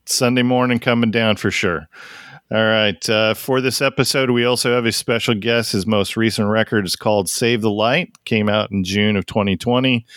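A middle-aged man hosting a music podcast, joking his way through relaxed introductions. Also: on the low side, no room sound, studio quality. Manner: jokey, easygoing introductions. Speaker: podcast host. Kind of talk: casual podcast chat.

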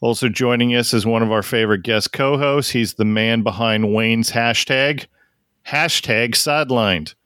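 A middle-aged man hosting a music podcast, joking his way through relaxed introductions. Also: mid-range voice, unhurried, smooth, no room sound, clean studio sound. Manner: jokey, easygoing introductions. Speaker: podcast host. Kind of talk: casual podcast chat.

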